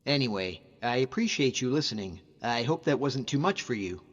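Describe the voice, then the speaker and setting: A man plays a young Nordic hunter from a fantasy video game, loyal and devout. He talks in an earnest, informal way at a medium pitch. The sound is slightly muffled, with a long reverb and music behind him. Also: natural voice. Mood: humble, sincere. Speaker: video game follower character. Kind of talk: conversational game character voice acting.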